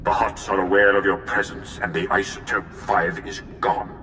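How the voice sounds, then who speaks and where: An actor playing a stern, commanding Sith overlord in a video game, speaking gravely and with authority. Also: male voice, sits low, steady tone, moderate pace, reverberant, noisy background, natural voice. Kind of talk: scripted video game acting.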